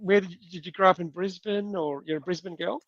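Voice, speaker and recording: A podcast host in a casual interview, asking halting, conversational questions. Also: mid-range voice, fairly even intonation, no echo, lo-fi sound. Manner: halting questions, frequent short acknowledgements.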